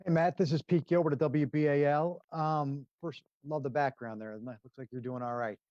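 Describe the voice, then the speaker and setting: Mellow male reporter, dull call audio. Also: on the high side, fairly even intonation, moderate pace, no echo. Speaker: sports reporter. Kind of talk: asking a question at a video press call. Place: video conference call.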